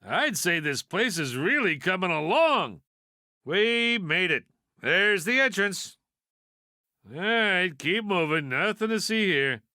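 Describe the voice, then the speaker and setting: A man's voice: sits high, sing-song, slow, pushed and bright, no echo, clean studio sound, full character voice. A man voicing a wisecracking toy piggy bank in a video game, a brash know-it-all with a sardonic, folksy delivery. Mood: wry, casual. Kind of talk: video game character voice lines.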